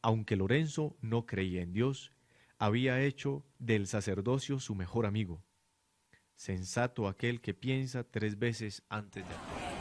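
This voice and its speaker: A man's voice: on the low side, a little mellow, no room sound. A man reading scripted narration for a radio drama in a dramatic storytelling manner.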